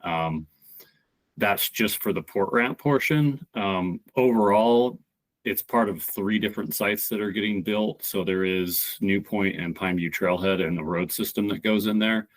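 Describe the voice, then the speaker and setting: Deep-voiced man explaining a project. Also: rough recording. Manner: explanatory, measured, with frequent fillers. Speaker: project applicant. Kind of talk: public comment at a meeting.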